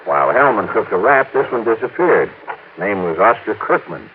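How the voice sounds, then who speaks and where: A man's voice: lowish voice, big pitch swings, normal speed. A man acting as a chatty, folksy sporting goods shopkeeper in a 1950s radio drama, familiar and knowing. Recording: noisy, narrow-band old radio recording.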